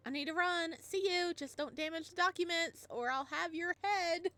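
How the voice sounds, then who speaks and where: A woman voicing a cheerful, teasing woman friend in a visual novel, brisk and matter-of-fact. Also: very high voice, sharp tone, no echo, pitched above the performer's own voice. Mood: hurried. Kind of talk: reading a visual novel aloud.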